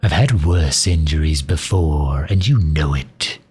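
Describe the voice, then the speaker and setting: A man playing a loyal, sharp-tongued mage advisor in an ASMR roleplay drama, with a dry, sardonic, drawn-out delivery. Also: rumbling low, breathy edge, full character voice. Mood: guarded, dry.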